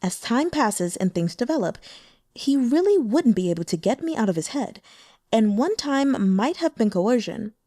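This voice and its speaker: A woman's voice: fairly high pitch, very animated, moderate pace, mellow, no echo, studio quality. A woman voicing a coy, teasing demonic seductress. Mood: sly.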